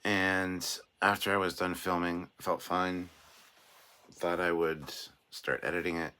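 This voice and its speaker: A man with an extremely low voice hosts a relaxed livestream, his throat raw and hoarse. He rambles along in a chatty, self-deprecating way, reading viewer messages aloud. His tone carries a forceful, bright edge despite the soreness. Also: a little slow. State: sore throat, voice hoarse and cracking. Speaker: livestream host. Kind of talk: casual livestream chat, reading out viewer comments.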